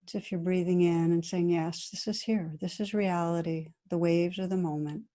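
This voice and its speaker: A woman meditation teacher guiding a practice in a gentle, soothing, unhurried manner with long pauses between phrases. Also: on the low side, steady tone. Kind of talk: guided meditation.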